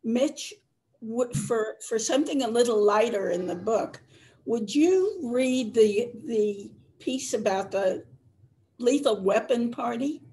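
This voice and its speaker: An older woman with a slightly high voice speaks very slowly. She is an audience member on a video call, asking casually and politely from home. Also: lively intonation, warm tone, low-quality recording. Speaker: audience member making a request. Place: living room, indoors.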